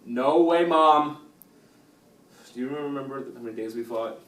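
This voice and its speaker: A man's voice: mid-range voice, unhurried, a bit bright, close to an everyday voice. A man playing a defensive sixteen-year-old son in family therapy, in an amateur role-play. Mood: exasperated, argumentative. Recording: roomy, distant mic with faint noise.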